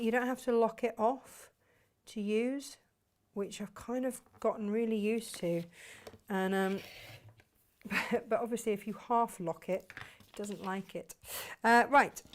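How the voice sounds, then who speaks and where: A woman hosting a sewing tutorial, her voice a little croaky from hay fever, chatting casually to viewers while she cuts fabric. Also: fairly high pitch. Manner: chatty, self-deprecating, talks to viewers while working. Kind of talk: casual craft demonstration.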